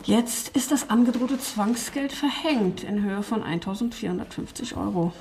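A woman's voice: medium pitch, moderate pace, a little mellow, slight background noise, natural voice. An actress playing a strict, officious female tax official in a radio drama. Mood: dismissive. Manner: curt, officious, ranting about tax injustice. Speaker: tax office clerk Frau Eckert.

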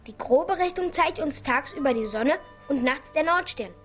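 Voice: high voice, expressive, normal speed. An actor in a children's radio play voicing a well-bred, clever merchant's son who explains things carefully and eagerly. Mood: explaining. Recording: studio radio play with music underneath.

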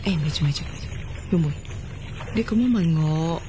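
An actress playing a bubbly, bossy, prying young best friend in a Cantonese radio drama, with a Hong Kong accent. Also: female voice, sits low, moderate pace, slight background noise, close to an everyday voice. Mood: nosy, impatient. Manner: chatty, prying, expressive.

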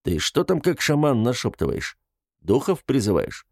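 A man's voice: mid-range voice, big pitch swings, moderate pace, creaky, darkish tone, no room sound. A male reader voices a major with a sceptical streak. He sounds sardonic and teasing in this audiobook drama. The sound is very dry.

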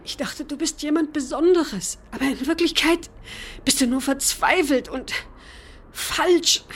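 An actress playing an earnest, wounded woman in a radio drama, reproachful and emotional with a breathy voice. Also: high-pitched, a little slow, fairly bright tone. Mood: reproachful, pleading. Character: indignant, wounded woman, earnest prison volunteer.

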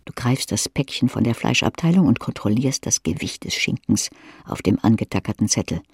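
Female audiobook narrator, husky voice, dramatic literary read, very dry recording. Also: extremely low pitch, lively intonation, brisk, a little mellow, no room sound. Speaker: audiobook reader. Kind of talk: scripted audiobook narration in second person.

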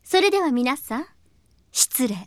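Haughty, high-pitched young lady. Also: animated, a little slow, heavy background noise. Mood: cool, dismissive. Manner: aloof, ladylike, condescending. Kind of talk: anime audio drama acting. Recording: music clearly behind the voice.

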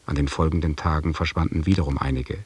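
An actor playing a correct, reserved lieutenant in a German radio drama, talking in a formal, measured way with a husky voice. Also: male voice, very deep voice, fairly even intonation, darkish tone, no echo, full character voice. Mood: reserved, sober.

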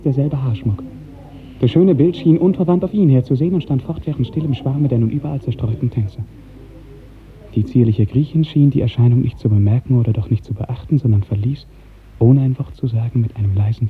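A man narrating a literary 1950s radio drama in a measured, precise storytelling manner. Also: mid-range voice. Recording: narrow-band 1950s radio recording, faint music.

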